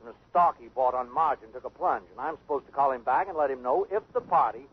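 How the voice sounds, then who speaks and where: A man's voice: mid-range voice, husky, natural voice. A man playing an urgent, anxious lawyer in a radio drama. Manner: urgent, hurried reports. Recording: dull old radio broadcast.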